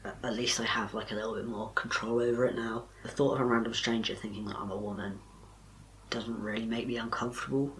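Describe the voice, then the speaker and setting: A young man, talking casually to camera in a soft, quiet, breathy voice with little power behind it. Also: lowish voice, fairly even intonation, fast, a little hiss or hum, rough recording. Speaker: vlogger.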